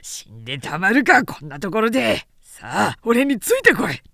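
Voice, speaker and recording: A woman narrator voicing a rough, defiant male warrior commander, barking orders in a dramatic historical storybook reading. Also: mid-range voice, very animated, normal speed, no echo, clean studio sound. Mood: defiant urging. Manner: barked battlefield shouting.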